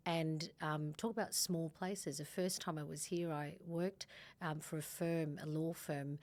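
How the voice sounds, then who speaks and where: A woman's voice: low-pitched, fairly even intonation, unhurried. A middle-aged Australian woman from Sydney, a family law partner, talking casually in a podcast interview with frequent fillers and restarts. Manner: warm, chatty, many fillers and restarts.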